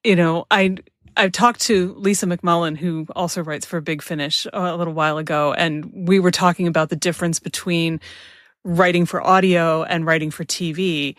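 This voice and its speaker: Female podcast interviewer: conversational, a bit low in pitch, feels her way into questions, close and free of echo. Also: fairly even intonation, moderate pace.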